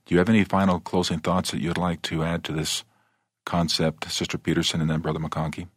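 A man's voice: low voice, level tone, a little fast, slightly rough, no room sound. A man hosting a panel discussion, asking brief courteous questions and handing over to guests. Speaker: host.

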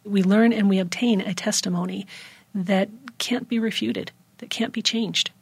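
A woman on a discussion panel, speaking in a measured, heartfelt way with soft emphasis. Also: medium pitch, fairly even intonation, creaky, breathy edge, no echo. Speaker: panel guest. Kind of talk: earnest discussion of faith.

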